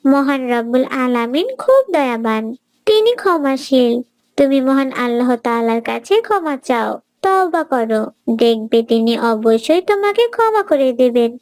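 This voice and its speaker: Cartoon casting: woman as devout little girl, high-pitched, earnest and gently preachy. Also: big pitch swings, unhurried, no room sound, heavily acted. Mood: gentle. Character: pious, kindly little girl who gently advises. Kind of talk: children's moral cartoon voice acting.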